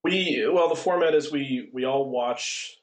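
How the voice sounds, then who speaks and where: A man's voice: medium pitch, a little slow, rough recording. A man guesting on a podcast, speaking hesitantly with many uhs and restarts. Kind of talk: casual podcast chat.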